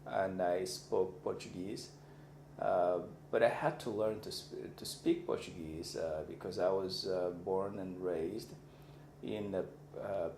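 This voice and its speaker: Deep gravelly man lecturing. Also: slow pace, darkish tone. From Mozambique. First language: Xangana. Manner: thoughtful, searching for words. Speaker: university lecturer. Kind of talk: reflective personal lecture. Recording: echoey room with faint background noise.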